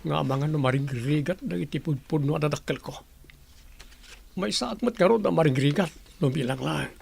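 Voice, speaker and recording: A man's voice: on the high side, fairly quick, creaky, darkish tone. A man playing a hurt, brooding adopted grandson in a radio drama. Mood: brooding, sad. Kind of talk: Ilocano radio drama acting.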